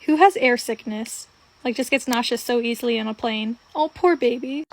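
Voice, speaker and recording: A woman's voice: a little high, moderate pace, slight background noise, low-quality recording. A young woman giving casual, improvised commentary in a giggly, slangy way, as a video creator.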